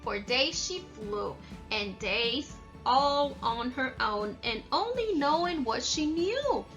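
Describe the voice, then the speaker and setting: Woman, high-pitched, unhurried, children's read-aloud and lesson, faint music behind. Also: lively intonation, a bit bright. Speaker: read-aloud storyteller and teacher.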